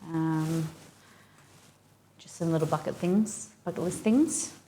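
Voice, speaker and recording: A woman's voice: low-pitched, slow pace, warm tone, slight background noise, poor audio. A woman with an Australian accent, hosting a casual cooking live stream and chatting informally with viewers as she thinks aloud.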